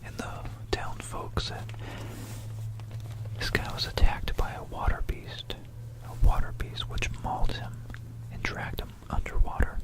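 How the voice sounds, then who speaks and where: An ASMR host whispering a rambling, informal history narration in a breathy, unvoiced voice, halting and musing, close to a binaural microphone. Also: poor audio. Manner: halting, musing, repeats phrases.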